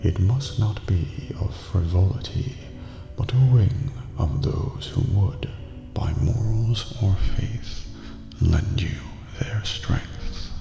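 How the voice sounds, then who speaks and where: A man voicing an eerie, courteous old master of a dream prison in a video game ASMR roleplay, speaking formally in a breathy near-whisper. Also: low-pitched, heavily acted. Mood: grave, patient. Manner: slow, formal, measured, cryptic. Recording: reverberant hall, muffled, music behind. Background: music.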